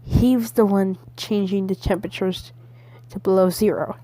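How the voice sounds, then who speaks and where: A woman's voice: medium pitch, mellow, no room sound, close to an everyday voice. A woman playing a stammering, frightened weather service spokesperson in an amateur emergency broadcast roleplay. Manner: stammering, halting. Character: shivering, terrified National Weather Service spokesperson, pleading.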